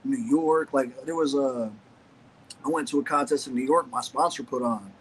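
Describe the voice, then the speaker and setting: A young man from Ohio tells stories in a loose, rambling way, full of fillers and swearing. His voice sits in a middle pitch range. A faint noise runs behind him. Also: moderate pace, low-quality recording. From Ohio, USA. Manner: loose, profane storytelling with many fillers. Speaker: guest, former pro BMX rider. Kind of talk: casual podcast chat.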